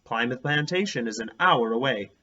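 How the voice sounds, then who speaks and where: A man playing a calm, practical male schoolteacher in a children's storybook read-aloud. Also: mid-range voice, moderate pace, dull audio. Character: calm male elementary school teacher organizing his class.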